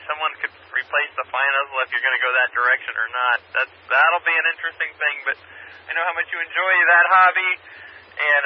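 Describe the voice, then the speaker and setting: A man chatting casually over a two-way ham radio, talking in a rambling, halting way. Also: fairly high pitch. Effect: two-way radio transmission. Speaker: amateur radio operator. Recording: muffled, noisy radio repeater picked up by scanner.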